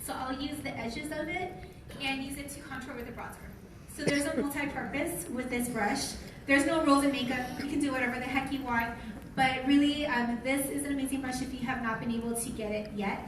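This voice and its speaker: A woman's voice: high voice, a little fast. An American woman giving a live product training, speaking informally and putting questions to her audience. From lives in Utah, United States. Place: large room with an audience. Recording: echoey room, distant mic, noisy.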